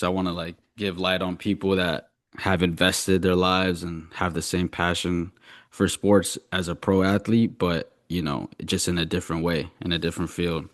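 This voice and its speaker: A man's voice: low-pitched, no echo, studio quality. A young man speaking as a podcast host in a casual, slangy interview style. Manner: informal, slangy.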